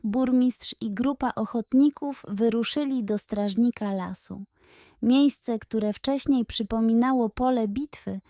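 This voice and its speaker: A woman storyteller reads a children's bedtime tale. Her voice is fairly high and soft in tone, and she takes her time with the scripted narration. The recording is dry and close. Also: dry recording.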